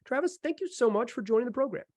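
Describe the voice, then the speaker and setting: Man, high voice, dry close sound, enthusiastic podcast host, casual emphatic sports talk. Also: lively intonation, fast talker, darkish tone, no room sound.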